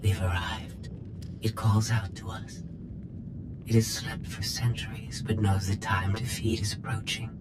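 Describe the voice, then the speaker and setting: An actor playing an ominous cultist heralding a sleeping monster, in an incantatory manner, in a video game cutscene. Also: male voice, monotone, unhurried, lightly acted. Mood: ominous, reverent. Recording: echoey, distant, loud rumble.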